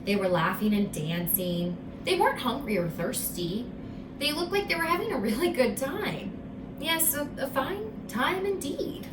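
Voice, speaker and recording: Female storybook narrator: expressive, slow and suspenseful, with an echoey, slightly distant recording. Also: sits high, noisy background. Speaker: storybook reader. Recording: echoey room, distant from mic.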